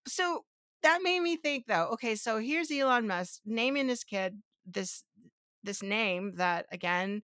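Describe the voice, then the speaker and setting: A woman's voice: a little high, expressive, a little slow, fairly bright tone, clean tone, no room sound, studio quality. A woman hosting a casual podcast, thinking aloud with restarts and rhetorical questions, a native Spanish speaker. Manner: explains step by step to her co-host. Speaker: podcast host.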